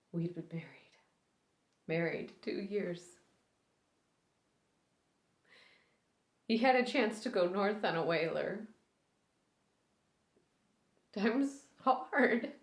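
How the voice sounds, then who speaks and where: A woman performing a dramatic stage monologue as a reclusive widow who haltingly breaks a long silence, in short, pained phrases. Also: mid-range voice, pitched above the performer's own voice. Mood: grieving. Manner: halting, short broken phrases, sighing, swinging from hushed to pained. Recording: roomy, echoing indoor sound.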